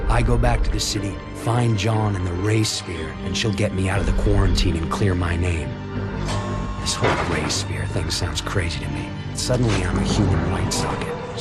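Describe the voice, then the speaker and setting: An actor playing a weary, sardonic, hunted man with electric powers in a video game, speaking in a terse deadpan. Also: sits low, lightly acted. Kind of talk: scripted game narration and dialogue. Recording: loud game music behind.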